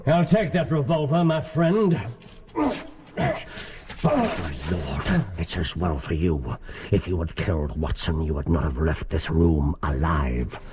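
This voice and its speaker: English detective, keen, commanding, theatrical, low-pitched, faint noise behind, radio drama. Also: male voice, slow pace, darkish tone. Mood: cold menace.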